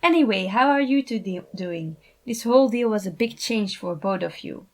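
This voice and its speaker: An earnest young man, played by a woman, caring and encouraging. The delivery is expressive and a little hesitant, in the manner of acted story dialogue. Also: mid-range voice, normal speed, natural voice. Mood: warm concern. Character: earnest, caring young man, upbeat but concerned host. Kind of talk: acted dialogue in a fan fiction reading.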